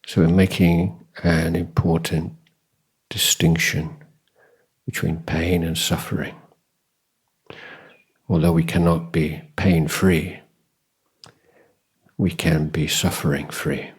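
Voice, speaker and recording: A man with a deep, very husky voice guides a meditation. He speaks slowly in an even, level tone. His phrases are short and measured, with long calm pauses between them. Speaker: Buddhist monk, meditation teacher. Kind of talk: guided meditation dharma talk.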